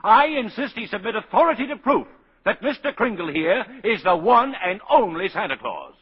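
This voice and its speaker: An actor playing an exasperated prosecuting attorney with indignant, formal oratory in a 1940s radio drama. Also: male voice, sits high, big pitch swings, moderate pace, breathy edge, heavily acted. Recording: muffled vintage 1940s radio broadcast.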